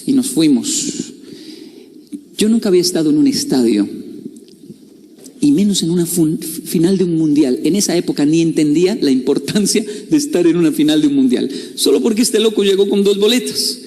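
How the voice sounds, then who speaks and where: A man's voice: on the high side, expressive, normal speed, warm tone. A middle-aged man gives a storytelling talk, playful and confiding, and acts out other people's voices. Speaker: speaker. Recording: long hall reverb.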